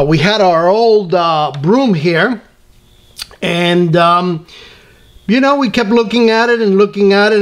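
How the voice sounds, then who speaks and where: A middle-aged man hosting a casual workbench hobby tutorial, rambling with many ums and uhs and friendly asides. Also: high-pitched. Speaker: scale model hobby host. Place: workbench in home workshop.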